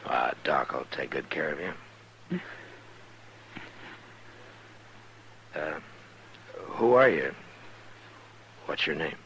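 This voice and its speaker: An American actor playing a weary, conscience-stricken marshal in an old radio western, terse and sober. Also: male voice, rumbling low, very animated, slow pace, a bit bright, full character voice, low-quality recording. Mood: grave, concerned. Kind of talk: old-time radio drama acting. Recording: hissy old radio recording.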